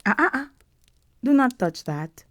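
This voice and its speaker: A woman voicing an imperious, seductive vampire countess in a scripted audio roleplay, poised, commanding and softly menacing. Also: high-pitched, sing-song, a little slow, dry recording, pitched above the performer's own voice. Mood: soft, possessive.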